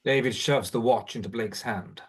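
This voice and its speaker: A man's voice: medium pitch, moderate pace, slight background noise. A man reading stage directions as scripted narration for a drama.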